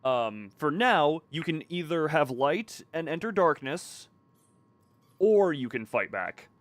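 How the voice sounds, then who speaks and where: A man's voice: a little high, animated, slow, no echo. Guy streaming a game, lightish voice, rambly and self-deprecating, voice jumps around, bit of noise. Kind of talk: casual live gameplay commentary. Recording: faint background noise and game ambience.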